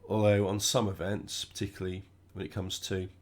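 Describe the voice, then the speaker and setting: A man with a cold, sounding croaky and stuffed up, chatting casually through his own racing gameplay. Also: sits low, a little fast. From United Kingdom. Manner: relaxed, chatty, thinking aloud while driving. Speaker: gaming channel host.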